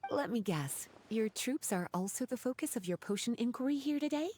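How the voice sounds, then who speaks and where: A woman's voice: fairly high pitch, animated, a little fast, a little mellow, slight background noise, lightly acted. A woman voicing an elegant, teasing and doting librarian mage in a video game, with a coy, affectionate politeness. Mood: knowing, teasing. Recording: background music under voice.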